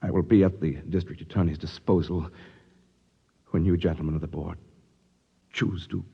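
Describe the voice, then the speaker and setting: An actor with an American accent playing a flustered, formal company official in a 1950s radio drama, officious and prone to stammering. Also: male voice, low voice, fairly even intonation, moderate pace, gravelly, husky, heavily acted. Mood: grave, solemn. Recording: muffled old radio broadcast, long reverb.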